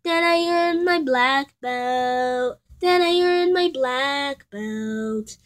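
A woman voicing a boastful male karate master number block in a homemade puppet play, chanting slowly in a sing-song way. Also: high voice, faint music behind. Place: indoors at a tabletop.

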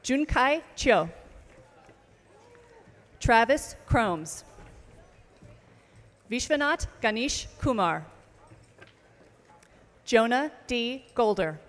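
Slow, careful woman reading names. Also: on the high side, animated. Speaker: name reader at commencement. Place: outdoor ceremony stage. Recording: long reverb of outdoor PA.

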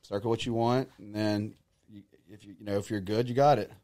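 A man's voice: on the low side, fairly even intonation, fairly quick, dark tone. A man in his thirties casually reminiscing as a podcast co-host. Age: 30s.

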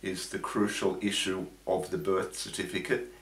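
Low guy hosting, asks measured questions, sounds far from the mic. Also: little pitch movement, fairly bright tone. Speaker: host. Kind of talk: interviewer questions. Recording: roomy, distant.

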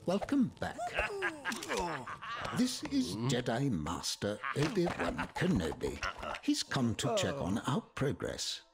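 A man's voice: medium pitch, huge pitch swings, takes it slowly, creaky, music in the background, full character voice. A man voicing a serene, courteous alien prime minister in a video game, speaking formally and graciously. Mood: serene, welcoming. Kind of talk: video game cutscene acting.

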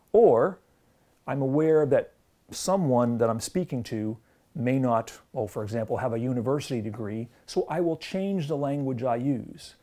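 A man's voice: medium pitch, dark tone. A Canadian man in his forties giving a thoughtful explanatory lecture to camera on a lapel mic, with fillers and restarts. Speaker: theology lecturer. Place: studio with green-screen backdrop.

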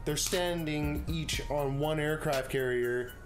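A man's voice: on the high side, slow. Wry male guest reviewer over music. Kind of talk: casual, wry film banter. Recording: music behind the voice.

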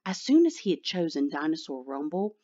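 A woman's voice: sits low, sing-song, brisk, mellow, dull audio. A woman reading a picture book aloud expressively for children. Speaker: children's story reader.

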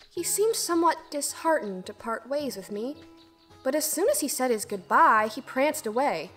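A woman's voice: on the high side, a bit bright. A woman playing an earnest, dutiful young girl who tells her own fairy tale formally in an audio drama. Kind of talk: first-person storybook narration and acting in audio drama.

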